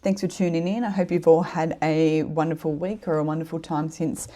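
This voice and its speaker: Low-voiced woman with a head cold. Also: steady tone, fairly quick. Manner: warm, earnest, rambling with fillers. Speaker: podcast host. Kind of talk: casual solo podcast chat.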